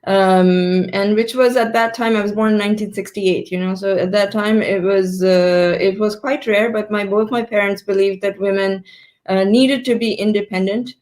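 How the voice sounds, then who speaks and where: A woman in her fifties, born in Pakistan, answering interview questions on a video call, often pausing to rephrase her sentences. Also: mid-range voice, fairly even intonation, darkish tone. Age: 50s. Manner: thoughtful, stops to rephrase mid-sentence. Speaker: guest entrepreneur.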